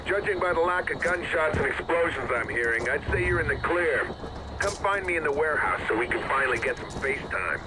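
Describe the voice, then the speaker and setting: Guy voicing a folksy smart-mouthed american cia agent, highish voice, loud game noise behind. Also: lively intonation, brisk, close to an everyday voice. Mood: smug. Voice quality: folksy, sardonic, chatty. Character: wisecracking patriotic American CIA agent, middle-aged man. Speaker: spy in a game cutscene.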